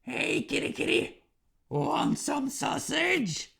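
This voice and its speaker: A man's voice: very high-pitched, slow pace, gravelly, fairly bright tone, pitched above the performer's own voice, exaggerated voice. A man voicing a small mischievous troll with taunting, screamed cries in a folk tale. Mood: gleeful taunting. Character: small mischievous troll jeering in a screechy high voice.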